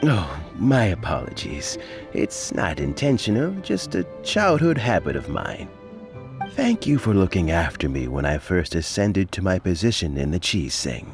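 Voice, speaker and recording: An actor playing a genial, wordy old official in a video game, rambling with gentle, hesitant courtesy. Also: male voice, deep voice, sing-song, measured pace, over music, full character voice. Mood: warm, apologetic. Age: older.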